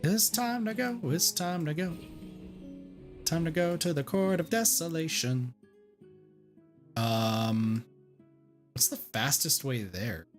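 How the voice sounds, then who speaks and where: A man's voice: fairly high pitch, animated, takes it slowly, long pauses. A man giving casual, reflective livestream commentary on a game in an informal way. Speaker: game streamer. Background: faint game music.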